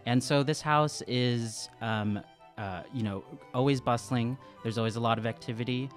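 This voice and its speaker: A man improvising his character's story as a player in a tabletop game, hesitant and halting as he thinks aloud. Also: medium pitch, faint music behind. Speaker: tabletop role-playing player.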